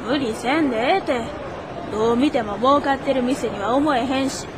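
A woman's voice: sits high, moderate pace, crowd noise, lightly acted. A woman playing a cheeky, blunt child with a Kansai accent in a radio drama. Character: cheeky, blunt child customer at a bicycle shop. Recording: noisy outdoor street ambience.

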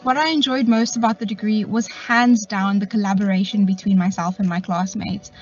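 A woman's voice: mid-range voice, steady tone, brisk, lo-fi sound, soft background music. A young woman graduate giving a testimonial from a car, laughing often. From South Africa. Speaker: recent graduate. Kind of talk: testimonial to camera. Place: in a parked car.

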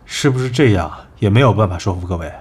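A man playing a cool, assured agency executive in an audio drama, measured and persuasive. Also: on the low side, animated, darkish tone, soft background music, natural voice. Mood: frustrated. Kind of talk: Chinese audio drama acting.

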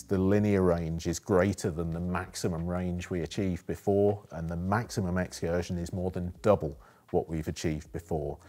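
A man's voice: deep voice, warm tone. A man giving an enthusiastic, technical explanation of a product as an engineer in an interview. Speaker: acoustic engineer presenting a product.

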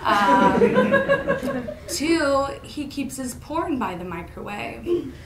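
A young woman from Indiana doing a stand-up comedy set, deadpan and self-mocking, often laughing at her own jokes, recorded by a camera out in a lecture hall. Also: sits high, takes it slowly. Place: college lecture hall stage. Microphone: far camera in the room. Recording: echoey hall, audible room noise.

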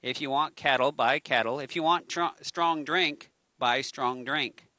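A man's voice: medium pitch, unhurried, pushed and bright, dry recording. A middle-aged man preaching a casual sermon outdoors on a handheld mic, chatty, with joking self-mocking asides. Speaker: pastor. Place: outdoors by a waterfront on a lawn. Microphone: handheld microphone at a lectern.

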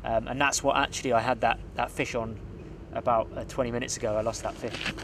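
A man's voice: mid-range voice, fairly quick, gravelly, slight background noise. A young man, co-hosting a fishing show, seated at a lakeside and talking through his tactics with some ums. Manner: relaxed, hesitant with ums, confiding. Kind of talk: casual outdoor fishing commentary.

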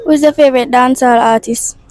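Bright-voiced Jamaican young woman interviewer. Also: high-pitched, fairly even intonation, fairly quick, dry recording. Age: young adult. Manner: upbeat, laughing, patois-mixed questions. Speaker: street interviewer. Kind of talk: casual street interviews. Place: outdoors on a city street. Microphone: handheld mic.

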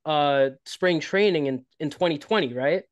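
Higher-voiced man asking podcast questions, dry. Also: moderate pace, no echo. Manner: hesitant, stops to rephrase himself. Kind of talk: casual interview questions.

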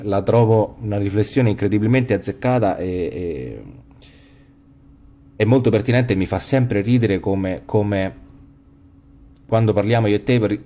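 A man's voice: on the low side, dark tone. A man in his forties hosting a podcast over a video call on a headset, musing in a reflective way with frequent restarts and trailing phrases. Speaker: podcast host. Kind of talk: casual philosophical chat. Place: home room, video call.

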